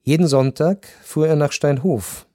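Mellow, melancholy male narrator actor, strings behind. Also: on the high side, no echo, clean studio sound, natural voice. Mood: wistful, resigned. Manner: measured, reflective. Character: melancholy first-person narrator, resigned Viennese gentleman. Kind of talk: literary radio drama narration.

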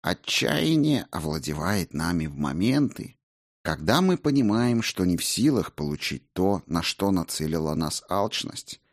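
A man's voice: sits low, very animated, measured pace, no echo, studio quality, full character voice. A man voicing a stern, scornful Buddhist monk teacher in a storybook, speaking in a blunt, didactic way. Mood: instructive.

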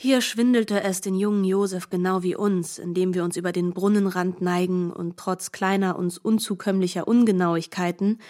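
A woman narrating a literary audiobook in a measured, articulate manner. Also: mid-range voice, warm tone.

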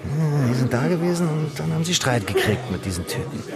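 Terse streetwise informant, music behind. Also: male voice, fairly high pitch, very animated, brisk, creaky. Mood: wary, terse. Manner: terse, slangy. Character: wary, streetwise male underworld informant in a bar. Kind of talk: German radio crime drama acting.

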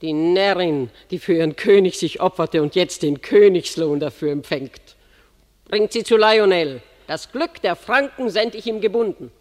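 A woman's voice: on the low side, moderate pace, a little mellow, lightly acted. An actress playing a mocking, imperious queen mother who takes a young warrior woman prisoner, in a classic verse radio drama. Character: scornful queen mother, commanding captor. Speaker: villainous royal captor. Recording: long hall reverb.

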